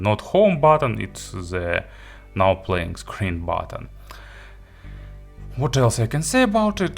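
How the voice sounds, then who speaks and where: Low-voiced man reviewing audio gear. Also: fairly bright tone. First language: not English. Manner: halting, often searching for words and rephrasing. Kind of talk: unscripted product review talk. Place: indoor studio.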